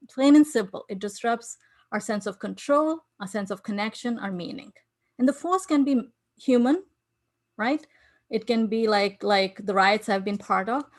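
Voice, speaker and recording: Indian woman, conference speaker on a video call, slightly high voice, earnest and hesitant. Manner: earnest, with frequent fillers and restarts. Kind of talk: online conference talk. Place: home in New York City.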